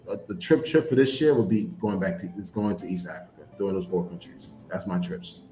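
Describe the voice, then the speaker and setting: A man's voice: sits low, a little fast, dark tone, roomy sound, a little hiss or hum, low-quality recording. A man chatting casually about his travel plans, telling stories at an easy pace and often restarting with repeated words. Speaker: podcast co-host. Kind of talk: casual chat.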